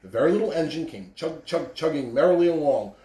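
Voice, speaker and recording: A man's voice: on the high side, expressive, quick pace, crowd noise. A man in his thirties, reading and talking expressively to children. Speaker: school read-aloud host. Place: living room, indoors. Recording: roomy living-room echo.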